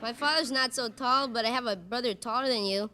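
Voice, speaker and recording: A man's voice: very high voice, a little fast. A fifteen-year-old boy from Brooklyn giving earnest, short answers as a quiz contestant on a vintage radio show. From Brooklyn, USA. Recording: noisy vintage radio, loud audience. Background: studio audience crowd noise.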